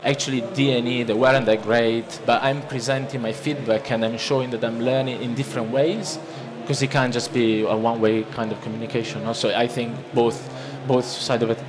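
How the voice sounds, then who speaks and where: A man's voice: mid-range voice, little pitch movement, fairly quick, few pauses, a crowd in the background. Man in his thirties, Italian accent, conference seminar talk, lectern mic, audience murmur around him. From Italy. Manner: conversational, loose, with many restarts and fillers. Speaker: conference presenter. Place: large conference hall, at a lectern. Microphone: lectern microphone. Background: listening audience.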